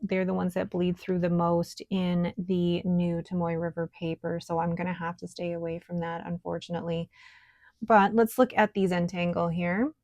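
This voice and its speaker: A woman with a low, gravelly and scratchy voice talks through her desk work in a relaxed, chatty way, thinking aloud as she goes. The sound is dry and close, with no room around it. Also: moderate pace, no room sound. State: scratchy, hoarse voice. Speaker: stationery vlogger. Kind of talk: casual show-and-tell while writing and painting.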